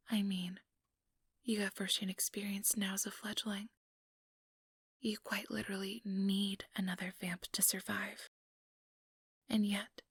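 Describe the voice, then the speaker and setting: Whispering, raspy-breathed vampire schemer, acted by a woman. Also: mid-range voice, dry recording, clean studio sound, full character voice. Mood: persuasive, aggrieved. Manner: intimate, coaxing, deliberate. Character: ambitious, manipulative female vampire recruiter, soothing and persuasive. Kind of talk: ASMR whispered role-play monologue. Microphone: close microphone, dry.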